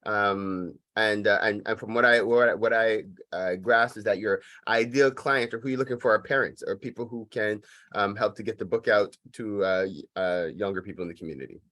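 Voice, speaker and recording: A man's voice: on the low side, steady tone, normal speed, pushed and bright, no echo. A man informally hosting an online business meeting in an encouraging, easygoing way. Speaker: meeting host. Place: online video call.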